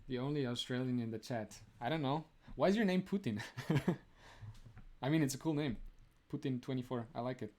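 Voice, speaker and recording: A young man from Romania hosts a casual live stream, answering viewers' comments as they come in. He chats loosely, scatters "like" through his sentences and breaks into laughter often. His voice sits at an ordinary middle pitch for a man. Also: a little fast, a little mellow. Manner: chatty, laughs often, many "like" fillers.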